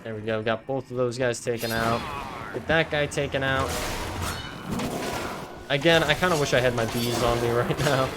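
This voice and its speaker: A man casually commentating his video game play for viewers, chatty and slightly hoarse from talking all day. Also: medium pitch, moderate pace, long pauses. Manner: chatty, addresses viewers as guys, frequent fillers. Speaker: gaming video creator. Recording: game music loud behind voice. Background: game music and combat sounds.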